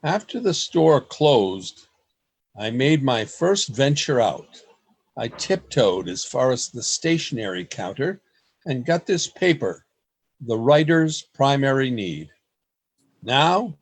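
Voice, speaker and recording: A man playing an anxious writer narrating his secret diary in a radio play, in a deliberate storytelling manner. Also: mid-range voice, exaggerated voice. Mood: tense excitement.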